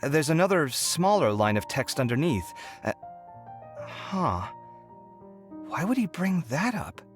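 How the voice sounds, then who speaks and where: A man playing an earnest, expressive young architect in a video game, good-natured and easily carried away. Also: on the high side, slow pace, faint music behind. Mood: thoughtful. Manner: animated, sighing, earnest.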